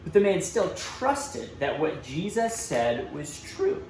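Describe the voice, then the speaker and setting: A man's voice: a little high, big pitch swings, takes it slowly, a little mellow. A man reading a storybook aloud to children, expressively, with dramatic emphasis and asides to his young listeners. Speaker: church children's storybook reader. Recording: roomy echo, faint background noise.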